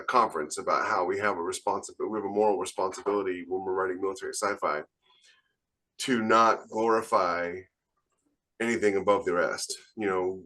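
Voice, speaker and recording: A man's voice: lowish voice, a bit bright. A man co-hosting a podcast, talking casually but emphatically as he argues his opinions at length. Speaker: podcast co-host and military sci-fi author. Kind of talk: casual opinionated panel discussion.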